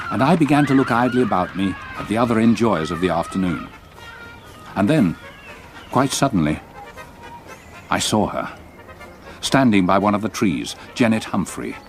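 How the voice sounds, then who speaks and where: An older man, acting a grief-stricken solicitor who tells his past in a radio ghost story, gravely and deliberately. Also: fairly low pitch. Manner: grave, deliberate, dramatic delivery. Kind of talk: radio drama, first-person ghost story narration.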